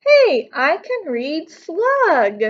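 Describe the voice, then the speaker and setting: A woman voicing an eager, childlike little slug from a storybook, exclaiming in a high voice. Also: wildly swooping pitch, drawn-out words, highs cut off, exaggerated voice. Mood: delighted.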